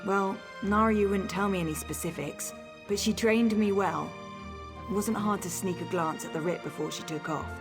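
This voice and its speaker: A woman's voice: mid-range voice, moderate pace, music in the background, natural voice. An actress playing a headstrong young noblewoman training as an assassin in a video game, speaking with stubborn earnestness. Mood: conspiratorial. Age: young adult. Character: headstrong young noblewoman turned assassin's apprentice, grieving, vengeful.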